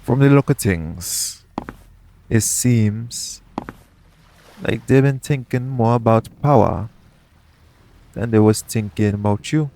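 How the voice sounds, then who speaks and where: A man acting a shrewd, smug pirate captain in an audio roleplay, with a taunting, deliberate, persuasive way of talking. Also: medium pitch, dark tone, a little hiss or hum. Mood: persuasive, pointed.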